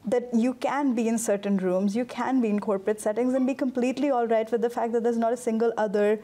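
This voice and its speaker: A woman's voice: fairly high pitch, steady tone, quick pace, dark tone. A woman panelist speaking candidly in a conversational way. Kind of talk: panel discussion.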